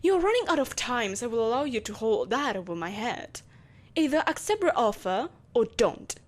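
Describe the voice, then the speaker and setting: Woman playing a haughty evil empress, swooping high voice. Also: big pitch swings. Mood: stern, irritated. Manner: haughty, theatrical, sighing exasperation, deliberate menace. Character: vengeful, possessive evil empress, soulless, imperious, coaxing a captive. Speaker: voice actor. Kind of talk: scripted audio roleplay acting.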